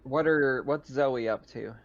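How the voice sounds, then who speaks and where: A man's voice: medium pitch, measured pace, slight background noise. Man game-mastering, slightly dull recording. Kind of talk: running a tabletop roleplaying game, conversational.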